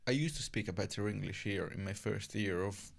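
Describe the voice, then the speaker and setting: A man's voice: fairly low pitch, a little fast, creaky. A young man from Italy with an Italian accent, hosting a casual podcast chat in a chatty, teasing way. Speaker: podcast host. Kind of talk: casual conversation.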